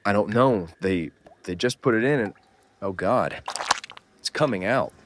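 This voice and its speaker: A man playing a gruff, world-weary survivor who takes charge in an audio horror drama. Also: lowish voice, lively intonation, measured pace, background noise. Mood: pained, panicked.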